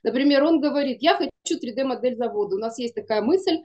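A woman's voice: high-pitched, expressive, quick pace, a little mellow, dull audio. A middle-aged woman chatting emphatically as an interview guest on a video call, often acting out bits of conversation. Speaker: guest, animation studio producer. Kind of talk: casual interview talk. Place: small room indoors, on a video call.

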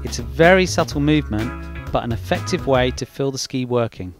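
A man narrates ski technique in a calm, measured voice-over. His voice sits at an ordinary middle pitch, with guitar music playing clearly under him.

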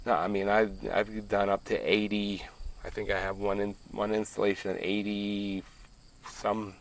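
A man's voice: on the low side, little pitch movement, normal speed, a little hiss or hum, rough recording. A middle-aged man from Pennsylvania walking through his solar array outdoors, talking in a plainspoken, rambling way and correcting himself as he goes. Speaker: homestead solar vlogger. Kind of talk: casual walkthrough vlog. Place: outdoors by a ground-mounted solar array in a rural field.

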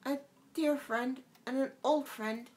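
A woman's voice: high voice, steady tone, slow pace, a little mellow, voice pushed up. A woman voicing a tiny, sad, pleading insect that coaxes and repeats little jokes, in a storybook reading. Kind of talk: audiobook character voice.

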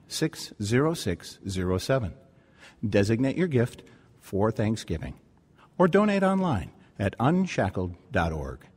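Husky, animated male radio announcer. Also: mid-range voice. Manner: earnest, prayerful. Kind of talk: scripted devotional appeal. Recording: long reverberant hall sound.

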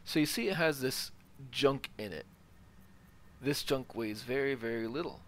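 A man giving a casual, explanatory walkthrough of a video game as he plays, in an unhurried way. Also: mid-range voice. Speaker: let's player. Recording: game ambience noise behind voice. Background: video game ambience.

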